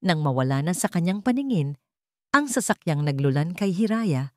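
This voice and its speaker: A woman's voice: lowish voice, very animated, normal speed, dry recording, studio quality. A woman reading scripted, dramatic story narration.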